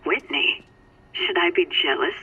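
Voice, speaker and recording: A woman voicing a sly, flirtatious cat burglar in a video game, husky and purring through a phone filter. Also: lowish voice. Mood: flirtatious, teasing. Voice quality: husky, breathy. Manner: purring, drawn-out, suggestive. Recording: narrow, tinny phone line.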